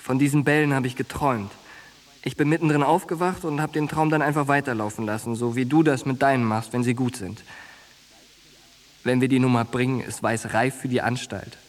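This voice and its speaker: Ein Schauspieler in einem Hörspiel spielt einen derben, eindringlichen jungen Soldaten und treuen Freund mit genervtem Temperament. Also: male voice, mid-range voice, steady tone, a little fast, natural voice. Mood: low, confiding. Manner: blunt, coarse, insistent. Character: streetwise, exasperated young soldier, loyal friend. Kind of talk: naturalistic German radio drama acting.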